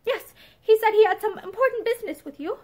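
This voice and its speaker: A woman reading aloud, voicing a tearful young woman who pleads in a halting voice broken by sobs. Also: extremely high pitch, voice pushed up. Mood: anxious.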